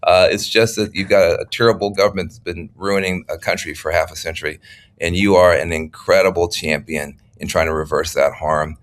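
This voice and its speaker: A middle-aged male host with a very low voice talks through his thoughts in an interview. He leans on fillers and hesitations, then jumps up in pitch when he gets animated. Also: a little fast. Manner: animated, musing, many fillers. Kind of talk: interview conversation.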